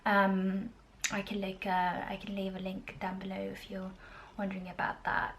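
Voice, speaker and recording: A woman, giving a casual, informative vlog in a halting way with drawn-out pauses. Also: mid-range voice, completely flat delivery, a bit bright, a little hiss or hum. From Norway. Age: 20s. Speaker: student video blogger.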